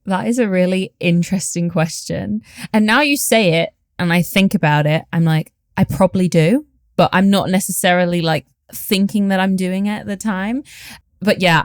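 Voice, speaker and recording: A woman on a podcast as a guest, talking in a relaxed, candid, reflective way. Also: fairly low pitch, dry recording, clean studio sound. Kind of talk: casual chat.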